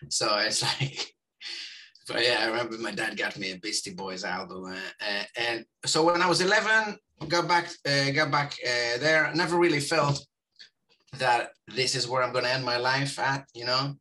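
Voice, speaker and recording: A man's voice: mid-range voice, normal speed, bright, forceful tone. A man in his forties with an Israeli accent, talking casually as an interview guest, rambling with many fillers and restarts. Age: 40s.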